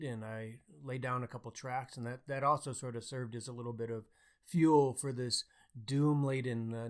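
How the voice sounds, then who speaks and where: A man co-hosting a podcast, talking casually about books. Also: mid-range voice. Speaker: podcast co-host. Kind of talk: casual book discussion.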